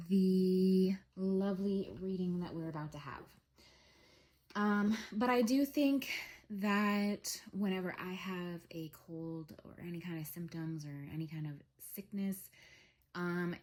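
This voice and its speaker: A young woman with a head cold, congested and a little scratchy, giving a relaxed spiritual reading monologue with many ums. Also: on the low side. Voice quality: scratchy throat. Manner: slow, soothing, with frequent um fillers. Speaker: tarot reader.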